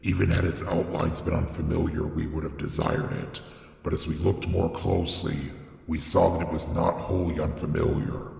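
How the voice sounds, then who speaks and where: A man reading a horror story as a scripted, dramatic narration, with a solemn and deliberate theatrical delivery. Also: rumbling low, little pitch movement, creaky, a little mellow. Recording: echoey, long reverb on the voice.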